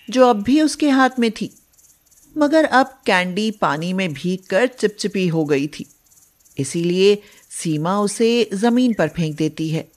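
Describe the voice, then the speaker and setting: A woman narrating a scripted children's moral story as a storyteller. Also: medium pitch.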